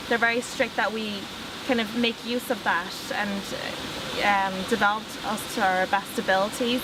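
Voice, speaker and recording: A young woman, speaking thoughtfully in an interview with frequent ums. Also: mid-range voice. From Ireland. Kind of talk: casual interview talk. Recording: audible background noise.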